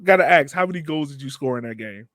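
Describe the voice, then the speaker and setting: A man's voice: on the high side, animated, fast, fairly bright tone, no echo. A man with an American accent hosting a casual podcast interview. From Richmond, Virginia, United States.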